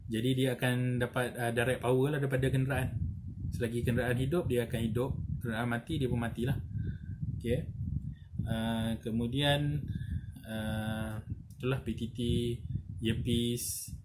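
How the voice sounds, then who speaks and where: A Malaysian man talks through a product in a casual tutorial style, at an average male pitch. He explains haltingly, repeating himself and adding small tags like a seller showing a customer. The recording is clearly noisy, with some clicking. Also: fairly even intonation. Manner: halting, repetitive explaining with frequent "lah" and "eh" tags. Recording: noisy, with audible background noise and clicks.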